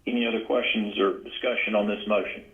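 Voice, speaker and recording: A man's voice: on the low side, steady tone, fast talker, phone-quality sound. A man presiding formally as chair over a board meeting held on a conference call. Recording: conference call audio stream.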